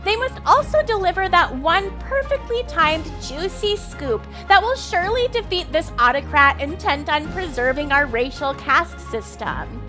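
Self-righteous cartoon news anchor, very high and swooping, emphatic, music underneath. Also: female voice, expressive, unhurried, hardly any pauses. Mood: righteous, mocking. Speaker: news host. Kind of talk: satirical news commentary.

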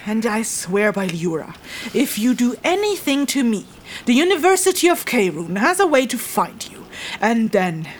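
A woman's voice: fairly high pitch, very animated, moderate pace, a little hiss or hum. A woman acting a stern, threatening magic-wielding interrogator in a fantasy audio drama, speaking in a commanding, formal way. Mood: menacing.

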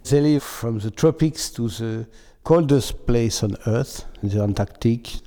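Slow, thoughtful scientist. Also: male voice, mid-range voice, mellow. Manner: slow, thoughtful, searching for words. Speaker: scientist interviewee. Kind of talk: interview answers.

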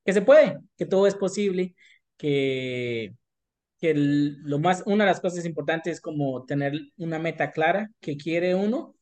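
A man's voice: a little high, a little slow, a little mellow. A man with a Colombian paisa accent, speaking earnestly and encouragingly as a guest in a casual interview. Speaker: podcast guest, small business owner.

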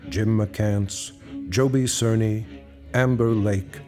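A male radio announcer delivers scripted credits in a fairly low voice. He takes his time over each phrase, with music playing clearly underneath. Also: steady tone, takes it slowly.

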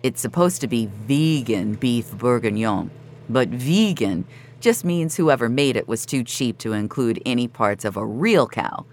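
An actress playing a sardonic, jaded virtual-reality regular, narrating an audio drama in a wry, conversational, profane way. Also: female voice, extremely low pitch, animated, measured pace, darkish tone, exaggerated voice. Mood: jaded, dry.

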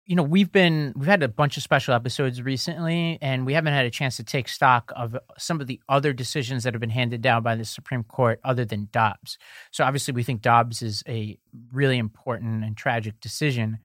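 A man's voice: mid-range voice, steady tone, a little fast, fairly bright tone, no echo, studio quality. A man co-hosting a political podcast, explaining court decisions step by step and reading ads in a casual tone. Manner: explanatory, walks through legal details step by step. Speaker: podcast co-host.

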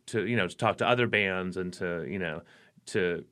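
A man's voice: low voice, normal speed, pushed and bright. A man, a musician guest in a radio studio, talking in a rambling casual way with lots of fillers and restarts. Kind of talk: casual interview chat.

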